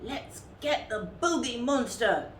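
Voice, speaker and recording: A woman voicing the feisty, bossy littlest brown bear in a children's storybook, shouting out orders. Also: a little high, lively intonation, slow, roomy sound, a little hiss or hum, voice pushed up. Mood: combative.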